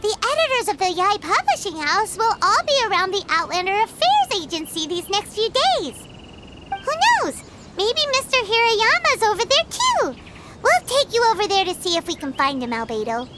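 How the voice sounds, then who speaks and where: A woman voicing a small, excitable, chatty fairy-like companion in a video game, with a squeaky childlike voice and emphatic delivery. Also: moderate pace. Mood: perky, eager. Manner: refers to self in third person, emphatic. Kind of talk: video game character acting. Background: background music.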